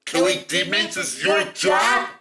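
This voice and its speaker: A man acting a dramatic, wronged cartoon character in an amateur production, with melodramatic shouted delivery. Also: high-pitched, big pitch swings, slow pace, a little hiss or hum. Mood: angry, dramatic.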